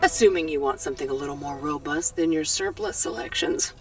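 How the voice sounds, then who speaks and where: An actress playing a shrewd, friendly weapons dealer in a video game, talking in a lilting, slangy, salesmanlike way. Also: female voice, low voice, huge pitch swings, fairly quick, vocal fry, recorded from a distance, faint music behind, full character voice. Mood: persuasive, upbeat. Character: shrewd, friendly female weapons dealer, folksy frontier trader.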